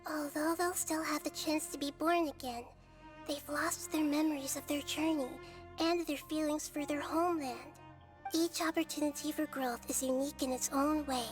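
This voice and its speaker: A woman voicing a high, gentle girl deity. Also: fairly even intonation, normal speed, music in the background, natural voice. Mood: earnest. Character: gentle young girl deity, wise and calm. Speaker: game character.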